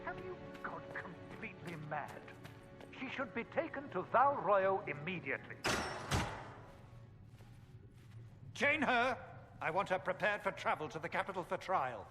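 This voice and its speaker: Imperious male chancellor, high voice, wildly swinging intonation, accusatory, game drama, reverberant. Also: big pitch swings, moderate pace. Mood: outraged. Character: pompous, hostile male clergy official. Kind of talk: dramatic video game acting. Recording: reverberant stone hall, music underneath.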